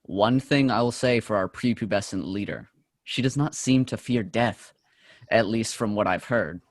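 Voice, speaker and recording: A man's voice: lowish voice, normal speed, dry recording, close to an everyday voice. A man playing a scornful, scheming medieval French soldier in a play reading, mocking and theatrical. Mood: mocking doubt. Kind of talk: stage play table read.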